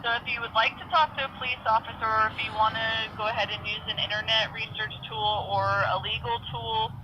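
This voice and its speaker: Woman, police dispatcher, firm, polite, procedural, flat intonation, very noisy phone recording. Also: fairly high pitch, a little fast. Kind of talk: formal phone conversation with a caller. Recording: muffled phone line, very loud noise.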